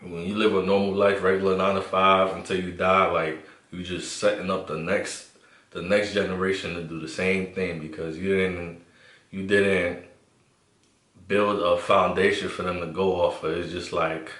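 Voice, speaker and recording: A young man from the Bronx talks in a relaxed, halting way, filling his pauses with ums. His voice sits very low. He sounds set back from the mic, in a room with a noticeable echo. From the Bronx, New York. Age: 20s. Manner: laid-back, halting with many fillers. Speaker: guest. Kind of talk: casual conversational vlog. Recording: roomy and distant from mic.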